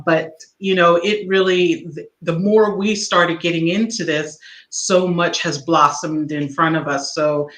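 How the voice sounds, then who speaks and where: A woman's voice: lowish voice, fairly bright tone. A woman co-host chatting casually on a live stream, with lots of fillers like "you know.". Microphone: video call. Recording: echoey room.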